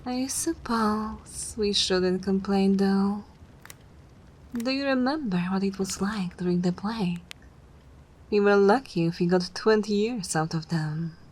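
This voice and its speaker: A woman playing a haughty, regal older vampire mistress in an ASMR roleplay, speaking in an imperious, measured way. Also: mid-range voice, a little mellow. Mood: weary, complaining. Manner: imperious, measured, breathy near-whispers.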